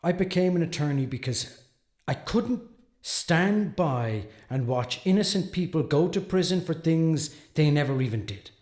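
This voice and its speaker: An actor playing an earnest, troubled defense attorney in a dramatic monologue, speaking deliberately with brooding pauses. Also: male voice, a little high, lively intonation, darkish tone. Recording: echoey, roomy sound.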